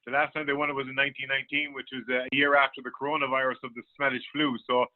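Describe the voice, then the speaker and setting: A man's voice: mid-range voice, level tone, racing. An Irish man, a sports journalist, recapping a match in a radio sports discussion. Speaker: sports journalist, guest pundit. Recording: thin phone-line radio sound.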